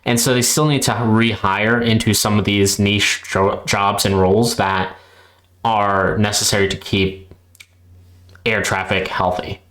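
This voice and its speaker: A man's voice: lowish voice, steady tone, a little slow, fairly bright tone, reverberant. A man giving unscripted commentary as a reaction host, explaining in a roundabout, hedging way.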